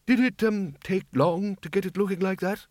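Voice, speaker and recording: A man's voice: high-pitched, normal speed, darkish tone, dry recording, studio quality, pitched above the performer's own voice, natural voice. A man voicing a mild, fretful undead male wizard who speaks politely and hesitantly.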